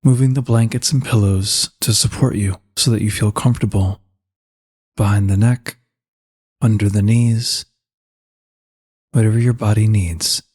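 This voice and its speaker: Deep, husky man guiding meditation. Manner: calm, unhurried, soothing instruction. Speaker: meditation guide. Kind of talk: slow guided meditation narration.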